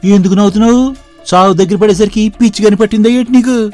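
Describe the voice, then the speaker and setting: A woman voicing a cruel male henchman in an animated story, blunt and threatening. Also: medium pitch, fast, faint music behind, close to an everyday voice. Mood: menacing. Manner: blunt, menacing.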